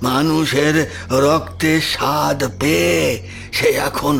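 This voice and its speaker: A man playing a remorseful old village occultist in a horror audio story, halting and repeating his words in a fatherly rustic way. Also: on the high side, steady tone, creaky, fairly bright tone, soft background music, heavily acted. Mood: grave, regretful.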